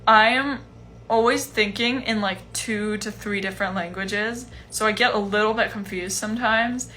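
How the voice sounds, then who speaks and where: A young woman in her twenties answering fan questions in a casual livestream from home, relaxed and chatty with lots of fillers. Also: mid-range voice, moderate pace, a little hiss or hum. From Iceland. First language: Chinese. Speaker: musician hosting a livestream Q&A. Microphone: phone livestream.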